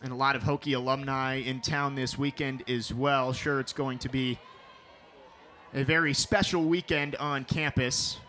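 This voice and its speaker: A young man calling a televised softball game as play-by-play announcer, with a crisp broadcast delivery that lifts for big plays. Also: medium pitch, steady tone, moderate pace. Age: young adult. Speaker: sports reporter and play-by-play announcer.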